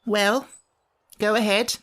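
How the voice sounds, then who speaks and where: A man voicing a cranky, put-upon little furry wish-granting creature from a storybook, with a shrill voice that cracks when worked up. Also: level tone, slow pace, a bit bright. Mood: resigned. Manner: indignant, complaining.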